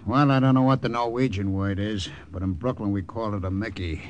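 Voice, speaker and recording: An actor playing a tough, wisecracking American saboteur from Brooklyn, brash and slangy, in an old-time radio drama. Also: male voice, fairly low pitch, fairly even intonation, fairly quick, dull audio, close to an everyday voice. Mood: wry, tense.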